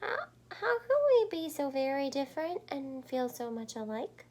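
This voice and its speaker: A woman's voice: high-pitched, big pitch swings, normal speed, darkish tone, pitched above the performer's own voice. A woman voicing a perky, bewildered little bird in a storybook, chirping and exclaiming. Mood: musing. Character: small young birds, perky, chirping, bewildered friends.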